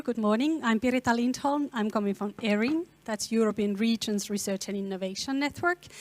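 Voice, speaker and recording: Woman introducing herself on a panel. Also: a little high. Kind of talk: spoken self-introduction.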